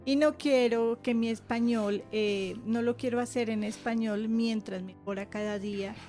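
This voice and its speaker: A woman's voice: fairly high pitch, steady tone, moderate pace, warm tone, faint music behind. A woman co-pastor interpreting into Spanish, with small hesitations and fillers as she goes.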